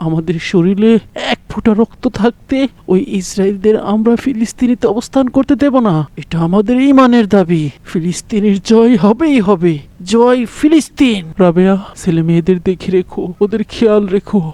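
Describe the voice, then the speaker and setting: Cartoon: man as fervent departing father, high mellow voice, rousing delivery. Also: animated, normal speed, no room sound. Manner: rousing, declamatory speech. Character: fervent father leaving for war.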